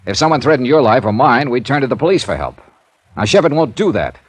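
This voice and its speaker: An actor playing a tough, wry American insurance investigator in 1950s radio style, dry, blunt and clipped. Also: male voice, mid-range voice, very animated, fast, breathy edge, dry recording. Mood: frank, dry. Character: tough, wry freelance insurance investigator, male, narrating his cases. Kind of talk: 1950s radio detective acting, clipped hard-boiled narration.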